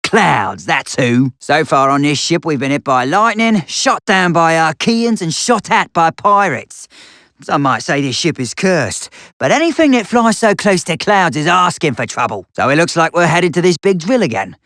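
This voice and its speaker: A man voicing a cranky, paranoid hermit creature in a video game, with folksy, grumbling, excitable speech. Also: a little high, moderate pace, dry recording, studio quality. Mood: grumbling, wary. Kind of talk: video game character voice lines.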